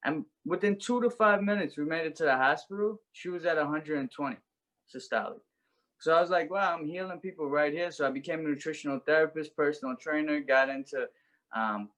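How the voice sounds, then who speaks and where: A man's voice: a little high, fairly bright tone, rough recording. A young man, telling his life story as a podcast guest in an earnest, reflective way. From Brooklyn, New York. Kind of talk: personal story in an interview.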